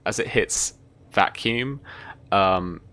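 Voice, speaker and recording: A game master narrating a tabletop roleplaying session in a casual, improvised, halting way. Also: sits low, pushed and bright.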